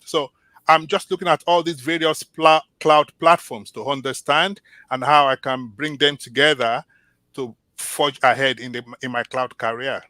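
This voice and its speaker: A man from Nigeria whose first language is Yoruba, answering interview questions as a job candidate in an earnest, measured way with small restarts. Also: medium pitch, sharp tone, no echo.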